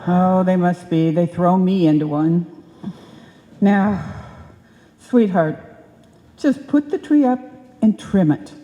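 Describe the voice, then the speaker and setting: An actress playing a patient, sensible wife who gently teases and chides in an old-time radio comedy. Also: female voice, lowish voice, slow, pauses often. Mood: coaxing. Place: live stage performance in a hall. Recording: live recording in reverberant hall.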